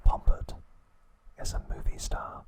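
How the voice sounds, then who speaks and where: A male ASMR host speaks entirely in a soft whisper. He narrates from a script with a measured, soothing bedtime delivery, keeping his voice unvoiced throughout.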